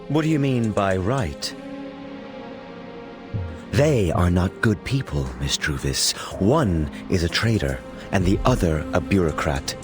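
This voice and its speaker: A man playing a grave, insinuating villain in a video game, speaking formally and in measured phrases. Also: deep voice, big pitch swings, over music, full character voice. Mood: smooth, insinuating.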